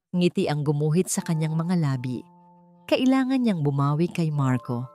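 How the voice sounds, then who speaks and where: Low-voiced woman narrating romance over music. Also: a little mellow, no echo. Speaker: story narrator. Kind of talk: dramatic scripted narration of romance fiction. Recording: music bed under the voice.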